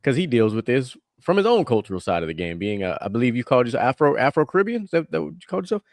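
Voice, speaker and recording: Casting: male podcast host, quick lower voice, dry close sound, relaxed and profane. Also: dry recording. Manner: fast, loose talk with swearing and laughs. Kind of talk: casual chat.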